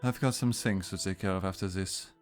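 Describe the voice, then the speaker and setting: A man playing a calm, serious Victorian investigator in a radio drama, measured and matter-of-fact. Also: low-pitched, close to an everyday voice. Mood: earnest, persuasive. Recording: music behind the voice.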